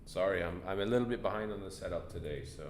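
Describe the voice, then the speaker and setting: A man's voice: sits low, quick pace, a little hiss or hum. A congested, tired man hosting a casual live stream, thinking aloud with hesitant fillers and restarts. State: congested, stuffy nose; tired from lack of sleep. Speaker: trading stream host.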